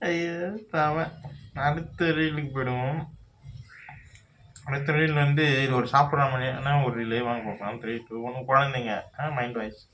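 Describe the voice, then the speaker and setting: A man's voice: mid-range voice, steady tone, normal speed, background noise. A man hosting a casual reaction video, commenting as he introduces clips.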